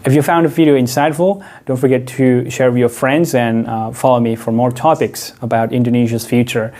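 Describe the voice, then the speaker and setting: A man's voice: medium pitch, steady tone, fairly quick, warm tone. Argumentative man making a pointed case. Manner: argumentative, rhetorical questions, frequent "uh" fillers. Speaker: video creator and English tutor. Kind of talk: opinion commentary to camera.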